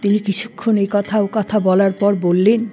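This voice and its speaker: Female narrator: mid-pitched voice, scripted literary storytelling, soft plucked strings underneath. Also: level tone, normal speed, slightly rough. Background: plucked string instrument music.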